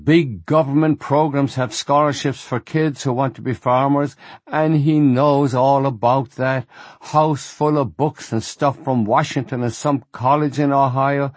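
A man's voice: mid-range voice, steady tone, measured pace, no room sound, pitched above the performer's own voice, close to an everyday voice. A man voicing a distraught older rabbi with a German accent, wailing in exasperation over his son. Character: distraught older male rabbi, anguished father.

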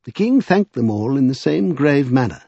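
A man's voice: mid-range voice, very animated, moderate pace, vocal fry, dark tone, breathy edge, no echo, lo-fi sound, voice pushed up, heavily acted. A man voicing a bookish, thoughtful magician who lectures precisely in an audiobook. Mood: thoughtful, recounting. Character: pedantic, bookish, thoughtful male scholar magician.

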